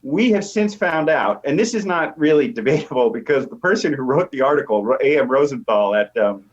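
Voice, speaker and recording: A man's voice: mid-range voice, expressive, a little fast. A man speaking as an academic interview guest, explaining in an assured way with examples, on slightly lo-fi audio. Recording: dull, lo-fi audio.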